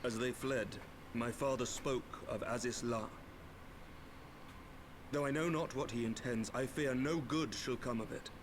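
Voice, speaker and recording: An actor playing an earnest, formal young nobleman knight in a video game, speaking in measured archaic phrases. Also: medium pitch, background noise, natural voice. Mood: grave resolve. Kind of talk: dramatic video game voice acting.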